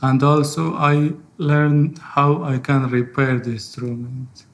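A man in his thirties from Afghanistan with an Afghan accent and Farsi as his first language, telling his own story in halting English. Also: medium pitch, flat delivery. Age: 30s. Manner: halting, non-fluent English. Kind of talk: personal spoken testimony.

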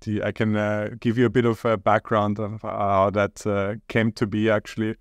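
A man from Austria, a startup co-founder talking as a podcast guest in a hesitant, halting way. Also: on the low side, little pitch movement, no room sound. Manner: hesitant, halting, many pauses. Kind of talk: casual interview talk.

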